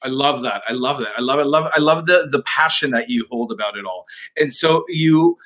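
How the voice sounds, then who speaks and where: A man's voice: mid-range voice, brisk, fairly bright tone. A man co-hosting an interview, asking questions and responding with enthusiastic affirmations. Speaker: co-host interviewer.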